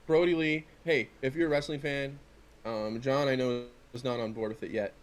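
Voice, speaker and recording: A man's voice: mid-range voice, fairly even intonation, moderate pace. A middle-aged man chatting casually and jokingly as a podcast co-host, in a rambling way. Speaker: podcast co-host and wrestling figure collector. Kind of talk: casual chat in an unboxing video.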